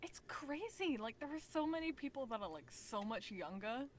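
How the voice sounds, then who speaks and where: A young British woman answering interview questions in a soft, approachable, giggly way. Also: a little high, sing-song, normal speed, slight background noise. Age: young adult. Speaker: voice actor guest.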